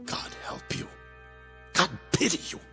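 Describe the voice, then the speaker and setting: An actor playing a deposed old dictator in a radio drama, defiant and scornful, speaking rhetorically in a gravelly, husky voice. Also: male voice, a little slow, sharp tone. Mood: grim warning. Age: older. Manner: theatrical, rhetorical. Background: faint music.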